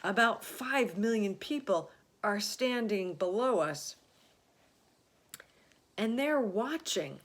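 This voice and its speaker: A pompous, panicky fire chief in a children's story, as a woman narrator puts him on. He blusters and exclaims in a raised, loud voice. His pitch swoops as alarm takes over. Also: animated, slow, pauses often.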